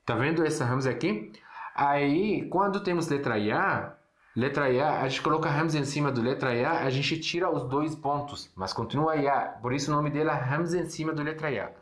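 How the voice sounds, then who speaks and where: A man's voice: fairly high pitch, fairly bright tone. Syrian man teaching, echoey room. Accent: Syrian Arabic. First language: Arabic. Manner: explains slowly, stretches example words, frequent fillers and restarts. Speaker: Arabic teacher. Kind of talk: casual online language lesson.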